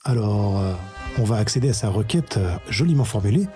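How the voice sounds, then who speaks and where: Mellow-voiced man hosting a live quiz. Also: fairly low pitch, brisk, faint music behind. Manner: relaxed, self-correcting, chatty. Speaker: quiz show host.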